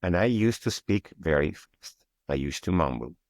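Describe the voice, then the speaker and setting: Deep-voiced Russian-accented guest. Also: male voice, animated, normal speed, a little mellow, dry recording, studio quality. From Kyiv, Ukraine. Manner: earnest, slightly broken English grammar. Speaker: guest, cybersecurity architect. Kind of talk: interview answers.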